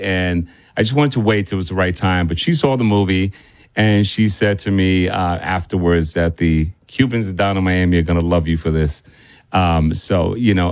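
A man's voice: lowish voice, darkish tone, no room sound. A man speaking conversationally as a film director being interviewed, often circling back and repeating himself.

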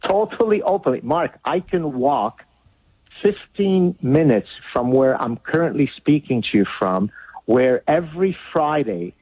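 A man's voice: a little high, lively intonation, a little slow, breathy edge, no echo. A man from Lebanon whose first language is Arabic, a guest author speaking bluntly and argumentatively in a radio interview over the phone. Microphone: phone line. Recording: tinny phone line.

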